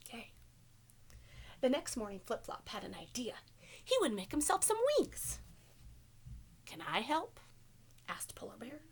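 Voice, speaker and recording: A woman's voice: fairly high pitch, big pitch swings, normal speed, pauses often, husky. A woman teacher reading a picture book aloud to children, expressively and with simple questions for the listeners. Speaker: elementary school teacher.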